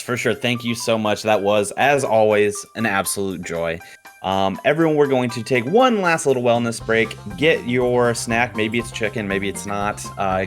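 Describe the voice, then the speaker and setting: Low-voiced man hosting, music behind. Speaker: show host. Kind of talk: hosting a livestreamed speedrun show. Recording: background music under the voice.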